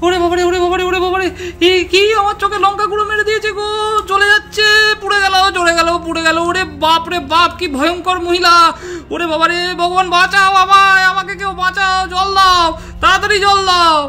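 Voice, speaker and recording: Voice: very high voice, little pitch movement, fairly quick, heavily acted. A performer, a woman, voicing a male thief in a doll story, a blustering bully who wails and pleads. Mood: panicked wailing. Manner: threatening, loud wailing and pleading.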